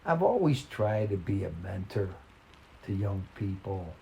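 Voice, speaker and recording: Older man, folksy storyteller, halting and reflective, lively rise and fall in pitch, faint rain-like noise behind. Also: vocal fry, rough recording. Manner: folksy, plain-spoken. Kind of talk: reading a personal short story in a conversational, reminiscing way.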